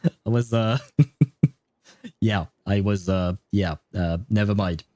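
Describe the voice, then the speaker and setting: A man livestreaming a video game, chatting casually with viewers in a rambling, halting way. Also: on the low side, animated, warm tone, dry recording. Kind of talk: casual livestream chat and commentary.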